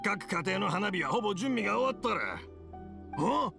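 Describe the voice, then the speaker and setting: Elderly anime game father: Kansai accent, hearty, rambling, creaky, music underneath. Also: high voice, animated, full character voice. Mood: genial. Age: older. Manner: hearty, rambling, slow to catch on. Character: genial, hard-of-hearing old fireworks craftsman father.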